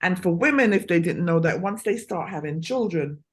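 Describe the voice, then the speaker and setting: A woman's voice: fairly low pitch, animated, normal speed. A woman hosting a casual interview, talking freely and often asking "you know what I mean". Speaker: host.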